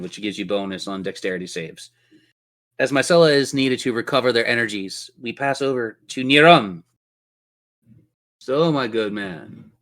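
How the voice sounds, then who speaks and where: A man's voice: lowish voice, fairly bright tone. A man running a tabletop role-playing game as game master, narrating with playful, theatrical turns of phrase.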